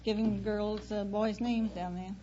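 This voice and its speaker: A woman's voice: medium pitch, flat delivery, unhurried, dark tone. A woman from Kentucky chatting casually as a contestant on a radio quiz show. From Kentucky, United States. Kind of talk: casual interview chat. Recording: dull vintage radio recording, faint noise.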